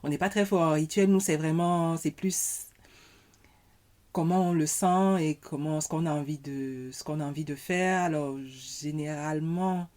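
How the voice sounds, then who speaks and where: A woman, a podcast guest speaking in a thoughtful, halting way as she searches for words. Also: low voice, fairly even intonation, a little mellow. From Cameroon. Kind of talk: casual conversation.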